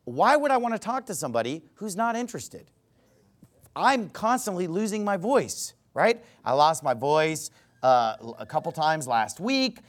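A pastor delivering an emphatic, repetitive sermon, mocking at times. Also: male voice, high-pitched.

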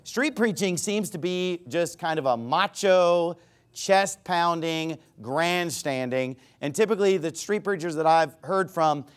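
A pastor delivering an emphatic, repetitive sermon, mocking at times. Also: male voice, fairly high pitch, a little slow, smooth.

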